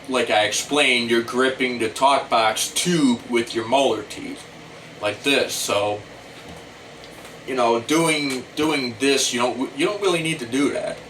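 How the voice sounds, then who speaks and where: A middle-aged man with an American accent gives an informal tutorial to camera, explaining things deliberately and repetitively. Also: medium pitch, fairly bright tone. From United States. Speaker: talk box player giving tutorial. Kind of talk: informal instructional talk to camera. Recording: distant mic, faint air-conditioner hum.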